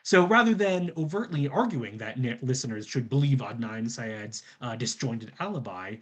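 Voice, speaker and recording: A man gives a scripted research presentation as an academic. His voice is somewhat rough and husky, and it moves animatedly up and down around an average male pitch. The wording is formal and scholarly. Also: expressive, normal speed.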